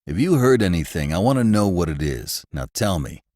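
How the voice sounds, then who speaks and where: A stern, impatient prison warden, played by a male narrator, who talks in gruff, sharp commands. His low voice is recorded close and dry. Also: very animated, brisk, no room sound. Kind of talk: audiobook character voice.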